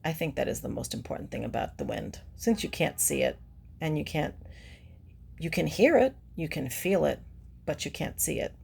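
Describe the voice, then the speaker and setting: A woman reads a picture book to young children in a low voice with very lively intonation. Her tone has a creaky, gravelly edge. She pauses the reading to explain things simply and ask the listener questions, like a teacher. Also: a little hiss or hum. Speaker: children's read-aloud presenter.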